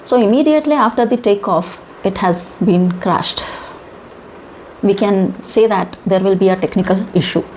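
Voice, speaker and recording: A woman with an Indian accent giving informal news commentary in halting, emphatic short phrases, often posing rhetorical questions. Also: mid-range voice, darkish tone, low-quality recording. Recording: clear background noise.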